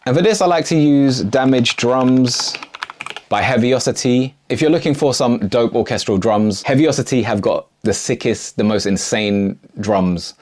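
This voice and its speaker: A man's voice: medium pitch, moderate pace, darkish tone. A man presenting a casual music production tutorial in an enthusiastic, slangy way. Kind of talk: casual video tutorial narration.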